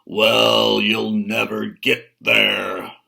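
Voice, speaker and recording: A man's voice: fairly low pitch, level tone, creaky, pushed and bright, heavily acted. A man voicing a big, hungry, menacing storybook troll who roars and draws out his threats. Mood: roaring, menacing. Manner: roaring, thundering, drawn-out delivery.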